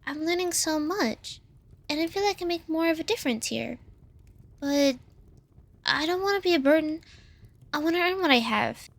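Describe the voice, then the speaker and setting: A woman as a high-voiced, hesitant teenage griffin girl. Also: animated, vocal fry. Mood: thoughtful, modest. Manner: halting, modest, earnest. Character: humble, hesitant teenage griffin girl, earnest war orphan. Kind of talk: scripted audio roleplay drama.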